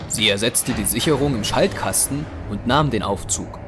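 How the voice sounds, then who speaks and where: A man's voice: mid-range voice, moderate pace. A man narrating a scripted German audio drama. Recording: music bed under narration.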